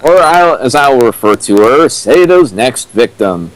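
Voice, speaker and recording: Male co-host, medium pitch, giving critical commentary in a dry, sardonic way. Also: big pitch swings, measured pace, dry recording, rough recording. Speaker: co-host commenting on fan fiction.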